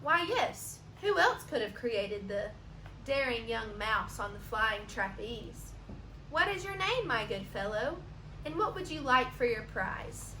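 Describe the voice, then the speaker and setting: A grand, flustered head judge from a storybook as a woman acts it out, formal and high in pitch. The words come slowly and pompously in an echoey room. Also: big pitch swings, pushed and bright, full character voice. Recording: roomy echo, background noise.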